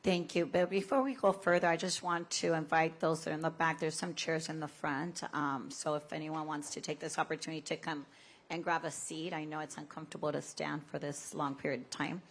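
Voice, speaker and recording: A woman's voice: sits low, flat delivery, brisk. A woman chairing a hearing informally, casual and welcoming in the way she talks. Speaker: committee chair. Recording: clear background room noise.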